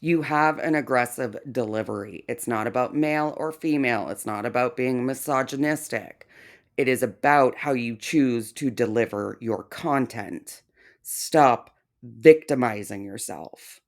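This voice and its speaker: A woman with a very low voice delivers reaction commentary. She is blunt, cutting and sarcastic, and she swears freely. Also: measured pace. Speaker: commentary YouTuber.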